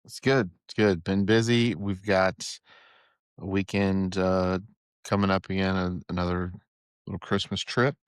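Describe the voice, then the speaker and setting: A man co-hosting a casual sports podcast, easygoing and a little halting in his speech. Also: sits low, level tone, smooth, no room sound, clean studio sound. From Arkansas. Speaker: podcast co-host.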